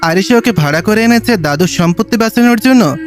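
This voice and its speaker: A man's voice: sits high, lively intonation, fairly quick, faint music behind. A man playing a stern, shrewd, wealthy old grandfather in an audio story, speaking in a commanding, probing way. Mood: brooding, scheming.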